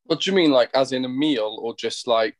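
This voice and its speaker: A man's voice: mid-range voice, brisk, no room sound. A Welsh man, a chef appearing as a podcast guest, talking bluntly and casually. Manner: blunt, laid-back, swearing casually.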